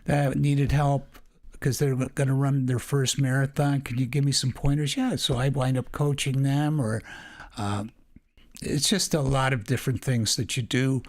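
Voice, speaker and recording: A man's voice: mid-range voice, fairly even intonation, normal speed, a little mellow. An older man, a retired teacher, telling long rambling stories as a podcast guest, often restarting and laughing. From Canada. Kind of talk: casual storytelling interview.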